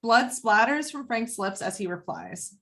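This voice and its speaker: A woman's voice: fairly high pitch, expressive, measured pace, a bit bright. A woman reading stage directions as scripted narration at a table read.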